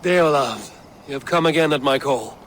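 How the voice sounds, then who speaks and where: A man's voice: medium pitch, noisy background, close to an everyday voice. A man voicing a stern Viking raid leader. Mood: resolute. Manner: grave, measured, commanding. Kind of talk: video game cutscene acting.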